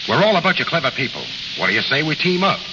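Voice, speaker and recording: Shrewd American gang leader, radio play; lively intonation, gangster slang, reverberant old recording. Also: male voice, fairly high pitch, fast talker. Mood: wry, persuasive. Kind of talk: 1930s-40s radio drama acting. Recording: dull, noisy vintage radio recording, long reverb.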